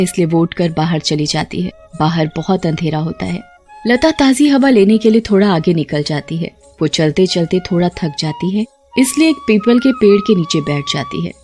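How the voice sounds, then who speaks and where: A woman's voice: lowish voice, darkish tone. A woman narrating a scripted tale as a storyteller.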